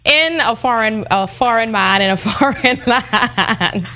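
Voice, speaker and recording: A woman's voice: fairly high pitch, slow, bright, forceful tone, slight background noise. A woman hosting a roundtable discussion, asking the guests questions in an inquisitive way. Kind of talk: roundtable moderating.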